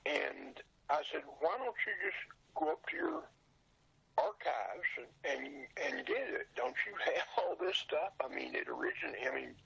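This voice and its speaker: An older man, sixty or above, chatting casually as an interview guest in a husky, gravelly voice, plain-spoken and folksy. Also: fairly high pitch, big pitch swings, measured pace, pushed and bright. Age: 60s or older. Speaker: interview guest, old radio show dealer. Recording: dull lo-fi audio.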